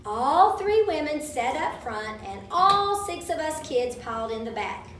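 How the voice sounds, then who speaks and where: A woman's voice: high-pitched, big pitch swings, a little slow, a bit bright. A woman reading a childhood story aloud to children at a live event, with dramatic storytelling delivery. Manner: dramatic, acts out quoted speech. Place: large room at a live event. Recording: roomy, distant microphone, faint background noise.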